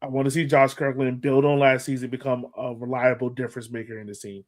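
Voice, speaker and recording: A man's voice: medium pitch, level tone, fast talker. A man hosting a sports podcast, giving casual fan commentary with playful, acted-out banter.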